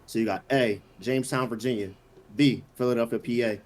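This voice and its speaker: A man hosting a casual quiz with friends, reading out questions and answer options at an unhurried pace. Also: medium pitch, steady tone, a little mellow, slight background noise. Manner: reads answer options slowly, teases players. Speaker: quiz host.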